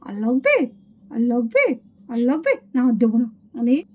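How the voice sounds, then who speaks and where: A woman's voice: a little high, very animated, normal speed, slightly rough, muffled recording. A woman voicing a cheeky, boastful, bossy little schoolgirl doll in a children's puppet show. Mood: headstrong.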